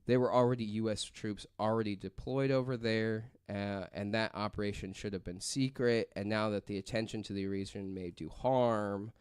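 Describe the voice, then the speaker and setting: A man's voice: on the low side, fairly even intonation, normal speed, few pauses, darkish tone, smooth, no echo, clean studio sound. A man presenting a story on a casual podcast, reading from notes and rambling through asides peppered with "like".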